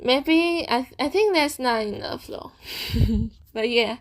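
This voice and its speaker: A young woman, a student whose first language is Chinese, chatting casually in halting English, often checking in with "okay?" and laughing softly. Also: sits high, lively intonation. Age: young adult. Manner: hesitant, halting non-native English, frequent "okay?" tags; slow, thoughtful, giggles often. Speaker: student vlogger and podcaster. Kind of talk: casual chat to camera.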